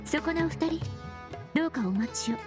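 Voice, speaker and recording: A woman's voice: high-pitched, expressive. A woman playing a polite, composed young female perfumer in an anime game, speaking formally and knowledgeably. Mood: courteous. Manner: courteous, formal, measured. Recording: music behind the voice.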